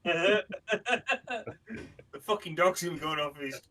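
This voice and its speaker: A man's voice: a little high, measured pace, sharp tone. A man chatting casually as a player at a tabletop game, with a crude, joking manner.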